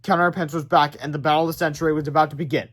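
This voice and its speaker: A man's voice: on the high side, steady tone, rapid-fire, fairly bright tone. A man reading a children's book aloud dramatically, stumbling over the odd word and adding asides. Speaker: read-aloud narrator.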